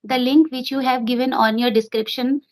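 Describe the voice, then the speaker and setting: A woman's voice: sits high, fairly even intonation, normal speed, a little mellow. Nervous woman learner. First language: Hindi. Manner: nervous, hesitant, many fillers and restarts. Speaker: guest learner. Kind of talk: video call conversation.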